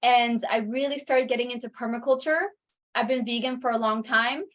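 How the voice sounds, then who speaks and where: A woman's voice: on the high side, steady tone, a little fast, fairly bright tone. A woman from Ecuador whose first language is Spanish, talking casually about her community with lots of fillers and restarts. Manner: rambling, many fillers and restarts. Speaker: community resident. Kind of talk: casual chat on a video call. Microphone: video call. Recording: dull lo-fi call audio.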